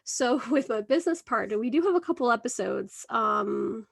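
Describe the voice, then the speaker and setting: A bubbly woman in her thirties, born in Venezuela, hosts a podcast chat, laughing often between her phrases. Her voice sits a little high with a gravelly fry. The sound is dry and close, with no room around it. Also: animated, normal speed, no room sound. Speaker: podcast host. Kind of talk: casual interview chat.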